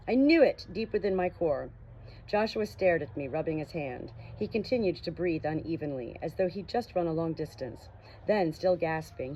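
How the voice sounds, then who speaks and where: A woman with a slightly husky voice reading a novel aloud in an expressive, personal way. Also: deep voice, a little hiss or hum, rough recording. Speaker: audiobook reader. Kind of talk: casual introduction and expressive narration of a novel.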